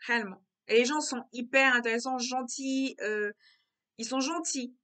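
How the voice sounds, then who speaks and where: A woman's voice: high-pitched, normal speed, sharp tone. A young woman vlogging in a casual, reflective monologue, often repeating herself and circling back to her words.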